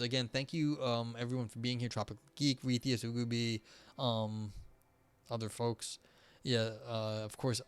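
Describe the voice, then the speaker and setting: A young man chatting casually on a live stream, rambling with many fillers and restarts, his voice starting to go hoarse. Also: mid-range voice. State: voice going hoarse.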